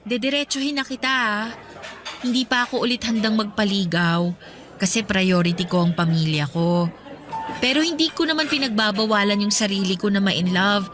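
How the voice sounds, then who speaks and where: A woman's voice: mid-range voice, animated, moderate pace, over music, natural voice. An actress playing a young working woman who hesitantly and apologetically explains why she is not ready for romance. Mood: confiding. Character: young working woman caring for her family. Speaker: radio drama actress. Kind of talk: radio drama acting.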